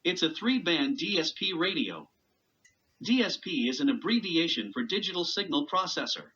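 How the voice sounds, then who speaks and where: Guy reading a gadget review script, bright forceful voice, kinda lo-fi sound. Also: fairly high pitch, very animated, moderate pace. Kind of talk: scripted narration of a product review.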